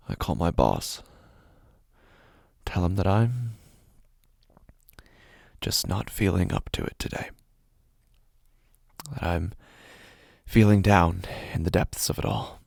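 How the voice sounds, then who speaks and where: A man acting an unraveling, despondent office worker in a first-person horror podcast monologue, halting and brooding with a gravelly voice. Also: deep voice, very animated, a little mellow, no echo, studio quality, exaggerated voice. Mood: weary, subdued. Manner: halting, brooding, breathy near-whispers, repeats words. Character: despondent, unraveling male office worker getting drunk alone. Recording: music playing under the voice. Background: prominent music bed.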